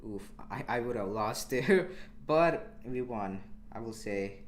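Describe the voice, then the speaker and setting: A young man from India commentating his live game stream in a relaxed, chatty way, answering chat as he plays. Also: mid-range voice, slow. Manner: relaxed, chatty, with frequent restarts and small laughs.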